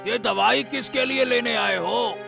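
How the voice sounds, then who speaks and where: A man's voice: extremely high pitch, measured pace, vocal fry, music in the background, exaggerated voice. A man playing an inquisitive, bossy but concerned village elder in a cartoon story.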